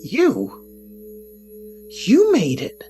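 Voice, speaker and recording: A man's voice: very high-pitched, huge pitch swings, very slow, warm tone, full character voice. Man acting a flustered young wizard over music. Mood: flustered, astonished. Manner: stammering, awkward. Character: flustered, bookish, beardless young wizard in love. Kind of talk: scripted audio fiction, narration and character dialogue. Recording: music behind the voice.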